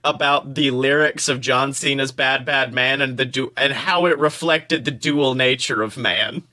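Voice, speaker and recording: A young man co-hosting a casual comedic podcast, talking in an animated, slangy way with profanity and mock seriousness. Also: mid-range voice, a little slow. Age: young adult. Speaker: podcast co-host.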